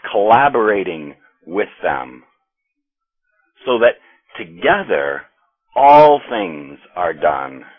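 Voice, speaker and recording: An American man reading scripture and then preaching a sermon in a slow, measured way with pauses. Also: fairly low pitch, slightly rough. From United States. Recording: tinny phone-like audio.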